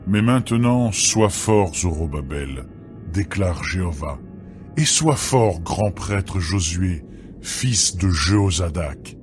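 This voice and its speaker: A man giving a solemn, deliberate, dramatised reading of scripture as narrator. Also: sits low, lively intonation. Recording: music behind the voice. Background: background music.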